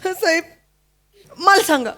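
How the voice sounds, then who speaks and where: A timid young housemaid, as a performer plays her, begging and close to tears. The voice sits very high and bright. She keeps repeating herself on a live comedy stage. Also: animated, takes it slowly, exaggerated voice. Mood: pleading. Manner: pleading, tearful, repeats herself. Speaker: comic actor. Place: stage before a live audience.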